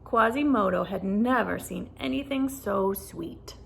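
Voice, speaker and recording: A woman reading a children's storybook aloud in a deliberate, animated storytelling manner. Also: medium pitch, gravelly, slight background noise. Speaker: storybook reader. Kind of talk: expressive children's read-aloud narration.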